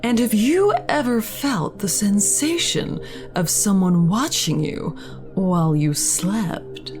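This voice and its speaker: A woman's voice: mid-range voice, lively intonation, takes it slowly, over music. A woman hosting a creepy story show, speaking in a playful, theatrical, drawling way with dramatic flourishes. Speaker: host of a creepy story podcast. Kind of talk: theatrical horror-host narration.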